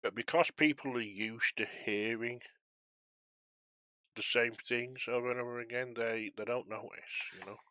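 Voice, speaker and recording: A man's voice: medium pitch, fairly even intonation, normal speed, pushed and bright, no room sound. A man chatting casually on a flight simulation stream, rambling and self-deprecating as he talks to viewers while controlling air traffic.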